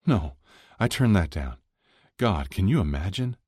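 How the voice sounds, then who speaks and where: Man playing an earnest male athlete, raised pitch. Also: sing-song, unhurried, a little mellow, husky, no echo, studio quality, exaggerated voice. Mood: earnest, tender. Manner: hesitant, sincere. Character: earnest, modest male pro hockey star in love.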